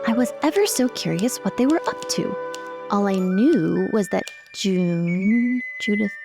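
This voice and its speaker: A woman's voice: medium pitch, animated, a little slow, creaky, over music. A woman playing a curious, chatty lady who narrates a recap of her companions' adventures in character. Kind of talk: in-character scripted recap narration over music.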